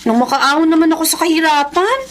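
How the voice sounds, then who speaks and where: Very high-pitched, headstrong Filipino girl. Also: quick pace, fairly bright tone, a little hiss or hum. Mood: eager. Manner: bold, insistent. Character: headstrong, ambitious nineteen-year-old village girl. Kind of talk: Filipino radio drama acting.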